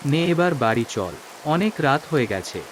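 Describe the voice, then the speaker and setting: A man's voice: medium pitch, sing-song, moderate pace, slight background noise, lightly acted, rough recording. A man voicing a worried, concerned father in a cartoon story. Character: worried father searching for his children.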